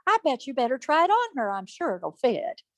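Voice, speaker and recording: A woman's voice: high-pitched, big pitch swings, brisk, a bit bright, no room sound, clean studio sound, pitched above the performer's own voice, exaggerated voice. Woman voicing a scheming bossy old mother. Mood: falsely sweet. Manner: falsely sweet, then threatening.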